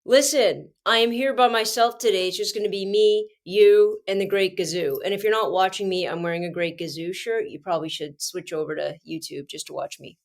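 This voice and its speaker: A woman's voice: mid-range voice, fairly quick, hardly any pauses. A Canadian woman, a teacher, hosting her own show, sounding congested with a cold and talking with passionate emphasis and frequent restarts. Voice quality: screechy when agitated. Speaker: podcast host and teacher. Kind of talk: casual solo commentary.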